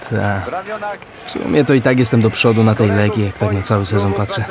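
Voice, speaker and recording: An actor playing a coarse, grumbling police detective with a gambling streak in a radio crime drama, slangy and sweary. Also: male voice, lowish voice, steady tone, fairly quick, close to an everyday voice.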